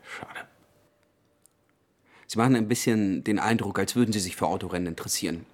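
A man's voice: lowish voice, a little fast, close to an everyday voice. An actor playing a restless, bored man in his forties, hesitant but insistent, in a radio play. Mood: politely restless. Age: 40s. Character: restless, bored 40-year-old man, politely pestering strangers. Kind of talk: German radio play acting.

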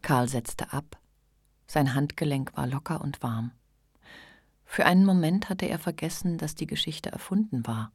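An actress as the calm, observant storyteller of a radio drama, narrating a novel in an understated way. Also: female voice, deep voice, a little slow, husky, dry recording, heavily acted. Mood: calm, reflective. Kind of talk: literary scripted narration.

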